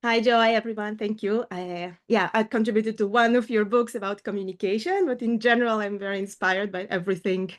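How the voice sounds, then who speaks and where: A woman's voice: medium pitch, steady tone, fairly quick, few pauses. A middle-aged woman from Ukraine, raised in Israel, speaking as a coach in a casual video call interview, earnest and thoughtful with small fillers. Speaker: coach and guest.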